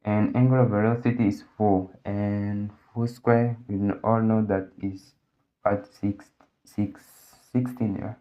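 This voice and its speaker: A man's voice: on the low side, little pitch movement, unhurried. A man whose first language is Portuguese, working through a physics problem step by step as a tutor, thinking aloud with many restarts and fillers. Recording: dull lo-fi audio.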